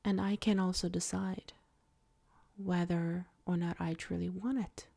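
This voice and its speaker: A woman guiding a soft-spoken ASMR meditation in a breathy, near-whispered voice, with gentle, deliberate phrasing. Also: fairly low pitch, flat delivery. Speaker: ASMR creator and meditation guide.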